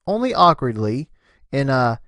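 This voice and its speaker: A woman giving an expressive, scripted narration of a story. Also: extremely low pitch, a little slow, no echo, clean studio sound.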